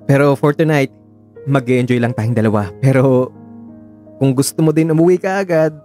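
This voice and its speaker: Radio drama boyfriend, acted by a man: eager, tender, conversational, dark soft tone over light music. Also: mid-range voice, normal speed, natural voice. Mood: tender, reassuring. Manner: gentle, reassuring, conversational Taglish.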